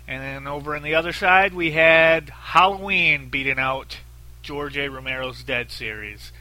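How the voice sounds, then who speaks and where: A man in his thirties hosts a solo podcast with a very nasal, bright, sharp-edged voice that sits a little high for a man. He talks bluntly, swears freely and rambles with lots of fillers. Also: low-quality recording. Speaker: podcast host. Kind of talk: casual solo podcast talk.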